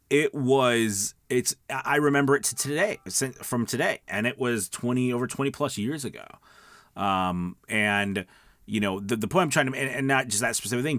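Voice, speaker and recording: A man's voice: lowish voice, a bit bright, no room sound, clean studio sound. A middle-aged man giving casual, conversational coaching to viewers, emphatic and often checking in with his listeners. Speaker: voice-over coach and video host. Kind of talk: casual instructional talk to viewers.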